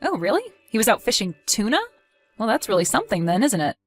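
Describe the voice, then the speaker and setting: A woman's voice: medium pitch, sing-song, fast, no echo, soft background music. A woman playing a caring, cautious and practical wife, fussing conversationally in an audio drama. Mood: surprised, wary.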